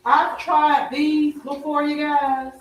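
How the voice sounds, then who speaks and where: A middle-aged woman chatting casually as she cooks, her voice slightly raspy as it recovers. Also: sits high, level tone, takes it slowly, roomy sound, low-quality recording. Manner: chatty, warm greetings and blessings to viewers. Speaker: health coach and vlogger. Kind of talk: casual cooking vlog chat. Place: home kitchen.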